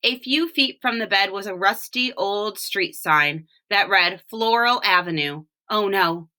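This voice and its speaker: A woman reading a children's storybook aloud, with expressive, deliberate phrasing and short explanations. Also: mid-range voice. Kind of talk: read-aloud storytelling with brief picture explanations.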